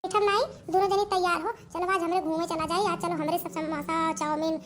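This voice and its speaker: Very high-pitched, feisty Awadhi village wife voiced by a woman. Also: flat delivery, a little fast, a bit bright. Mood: animated. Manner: scolding, rustic, colloquial. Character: feisty, easily offended village wife and her sisters-in-law. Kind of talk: cartoon comedy dialogue.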